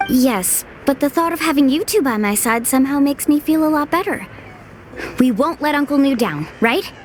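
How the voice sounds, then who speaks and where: A woman playing an upbeat, earnest young tour guide in an anime-style game, talking in a casual, expressive way. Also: sits high, moderate pace, slight background noise. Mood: eager. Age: young adult. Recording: loud music behind voice. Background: music.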